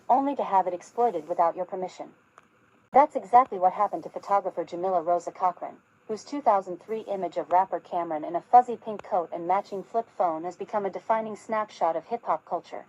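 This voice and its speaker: A woman's voice: lowish voice, a little mellow, highs cut off. Polished woman reading a news script. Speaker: news narrator.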